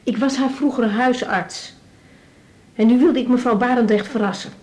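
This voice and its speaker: A woman's voice: a little high, moderate pace, darkish tone, lightly acted. A Dutch actress playing an anxious, affectionate young woman doctor in a naturalistic radio drama, hesitant and searching for words. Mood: polite, subdued. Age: young adult.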